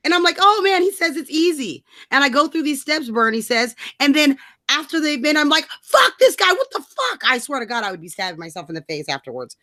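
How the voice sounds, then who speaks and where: A woman's voice: sits high, big pitch swings, a little fast, bright, forceful tone, dry recording, clean studio sound. A woman co-hosting a rant show in casual banter, blunt and mocking, swearing freely. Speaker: co-host of a political rant show.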